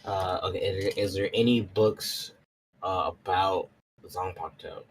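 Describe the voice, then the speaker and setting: A man's voice: sits low, fairly even intonation, measured pace, slight background noise, low-quality recording. A man chatting casually as a player in a tabletop roleplaying game.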